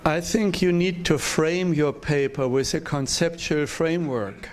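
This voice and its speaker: A man, a professor at a conference, giving a measured, direct academic critique of a presentation. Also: fairly high pitch, slight background noise. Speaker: professor, discussant.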